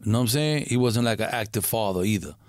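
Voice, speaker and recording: A middle-aged man from Puerto Rico, a guest in a casual interview, who talks in a halting way, repeating words and using street slang. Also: fairly low pitch, animated, dry recording, studio quality.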